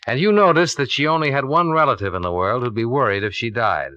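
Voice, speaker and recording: An actor playing a cool, relentless American insurance investigator, blunt and probing, in a 1950s radio drama. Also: male voice, mid-range voice, fast, bright, forceful tone, no echo, close to an everyday voice. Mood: stern, accusing. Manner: clipped, probing, blunt interrogation. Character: hard-boiled, methodical male insurance investigator, relentless and cool. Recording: dull vintage radio recording.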